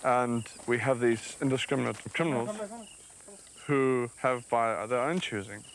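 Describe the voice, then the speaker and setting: A man's voice: mid-range voice, steady tone, a little slow, slight background noise, poor audio. A man speaking firmly as a wildlife official in a documentary interview, explaining the poaching threat. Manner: firm, explanatory.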